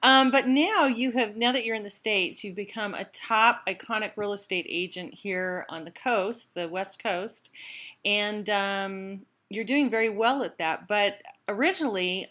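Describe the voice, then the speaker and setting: A woman with a medium-pitched voice acts as interview host. Her delivery is conversational and polite. She encourages her guest and leaves small pauses as she forms her questions. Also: fairly bright tone.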